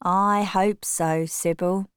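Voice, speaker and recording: A woman's voice: on the high side, very animated, a little slow, fairly bright tone, clear, resonant tone, dry recording, clean studio sound, pitched above the performer's own voice. Woman reading as a blunt, protective teenage boy. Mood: stern. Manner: earnest, protective. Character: young man of sixteen, blunt and protective.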